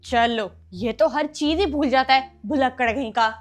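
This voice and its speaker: Woman acting a naggy sarcastic big sister, super high voice, tattletale, family skit, soft music behind. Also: moderate pace, lightly acted. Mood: mocking. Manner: sarcastic, complaining. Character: bickering, tattling older sister, sarcastic and accusing. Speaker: actor in a comic skit.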